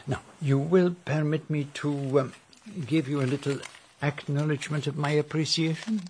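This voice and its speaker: An actor playing a courteous, shrewd gentleman detective with a French-speaking Belgian accent, precise and formal, in a radio drama. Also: on the high side, fairly even intonation, moderate pace, slight background noise, lightly acted. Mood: politely inquisitive. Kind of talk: radio drama narration and dialogue.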